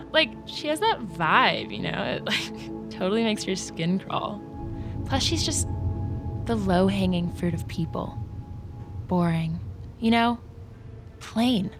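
A woman's voice: medium pitch, expressive, slow, long pauses. An actress playing a catty, gossipy mean-girl woman with a snide, slangy drawl in an audio drama. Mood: mocking. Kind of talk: audio fiction drama acting. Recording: music clearly underneath.

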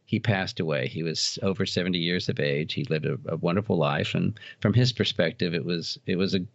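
A middle-aged American man with a low voice chats as an interview guest. He talks in a halting, wandering way, explaining and reminiscing casually. The recording is dry and somewhat muffled. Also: gravelly, no room sound. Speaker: podcast guest, author and haunted house operator.

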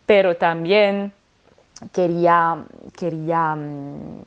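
A woman's voice: fairly low pitch, extremely slow, smooth, muffled recording. A French woman singer speaking Spanish with a French accent, answering interview questions with many hesitations and restarts. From France.

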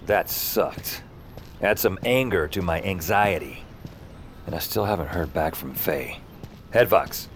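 A man's voice: low voice, a little slow, background noise. An actor playing an anxious night-shift dispatcher, narrating his misadventures in dry, clipped sentences. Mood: sour, wry. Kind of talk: first-person noir-comic audio drama narration. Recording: music under the voice.

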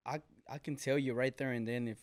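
A man in his twenties with a medium-pitched voice speaks as a podcast guest. He sounds earnest and informal, circling back over phrases in a halting, slangy way. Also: fairly even intonation. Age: 20s. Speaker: podcast guest, small business owner. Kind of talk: casual chat, answering interview questions. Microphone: podcast mic.

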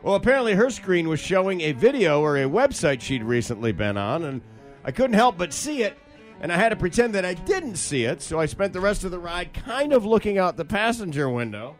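A man hosting a radio show, telling stories and bantering casually and comedically in an animated way. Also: high voice, fairly quick, faint music behind. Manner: animated storytelling. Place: radio studio.

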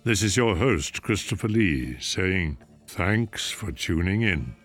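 A man hosting a radio show, reading scripted announcements in a measured, formal way. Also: low voice, animated, soft background music.

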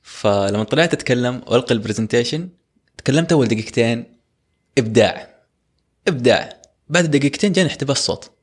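A young man, co-hosting a casual podcast and telling personal stories in a lively way. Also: medium pitch, fast, pauses often, husky. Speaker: podcast co-host.

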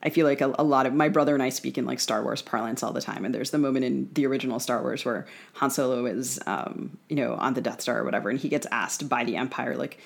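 A woman's voice: a bass voice, fast talker, gravelly, hardly any pauses. A woman co-hosting a casual literary conversation in a discursive, wry way. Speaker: podcast co-host.

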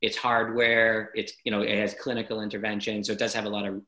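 A man's voice: lowish voice, little pitch movement, fast talker, sharp tone. A man hosting a casual interview, talking energetically, rambling and restarting his sentences. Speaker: podcast host. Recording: roomy echo.

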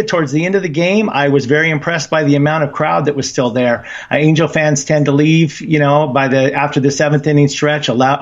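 A man talking casually on a podcast as a guest, animated and halting, with many fillers. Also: fairly high pitch. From Southern California. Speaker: guest fan. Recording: dull lo-fi line.

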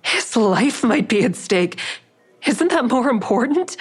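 A woman's voice: fairly high pitch, very animated, slow pace, husky, faint music behind, heavily acted. An actress playing a concerned, probing woman in audio drama dialogue, with an earnest, questioning manner. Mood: concerned, pressing. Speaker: lead actor in an audio drama.

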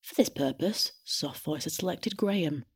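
A woman with a husky voice, narrating a scripted satirical story in a deadpan, matter-of-fact way. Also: low voice, moderate pace. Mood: wry, deadpan. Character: wry female storyteller narrating a satirical tale.

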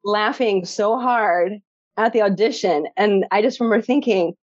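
Guest actress, Chicago accent, Polish native speaker, chatty and laughing; dry, dull recording. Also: female voice, medium pitch, moderate pace, dry recording. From Chicago, USA. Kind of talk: casual interview chat.

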